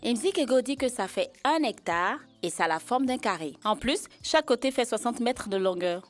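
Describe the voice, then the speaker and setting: A woman performs a helpful cartoon child with a slightly high voice. The manner is easygoing and matter-of-fact, with faint music underneath. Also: expressive, normal speed, lightly acted.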